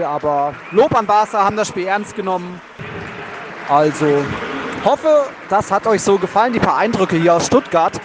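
A man hosting a podcast, giving live football commentary from the stands. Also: high-pitched. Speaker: podcast host. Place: outdoors in a football stadium. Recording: loud stadium crowd and noise. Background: crowd noise and applause.

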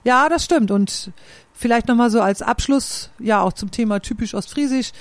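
A woman's voice: a little high, normal speed, dry recording. A woman, hosting a casual conversation and thinking aloud, often searching for words with many fillers. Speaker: podcast host and singer.

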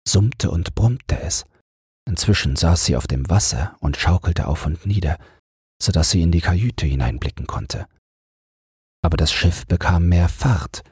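A man with a husky voice narrating a bedtime fairy tale as an audiobook, unhurried and soothing. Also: a little mellow, dry recording, studio quality. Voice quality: husky, very low.

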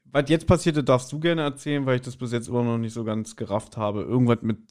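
A man's voice: mid-range voice, steady tone, quick pace, a little mellow. Chatty man, podcast co-host. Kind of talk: casual chat recapping and reviewing an audio drama.

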